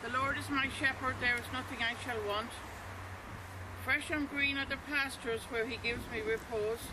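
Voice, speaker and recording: A man with a very high voice reads scripture at an outdoor remembrance service. His delivery is slow and measured, with steady background noise around him. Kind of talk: solemn scripture reading.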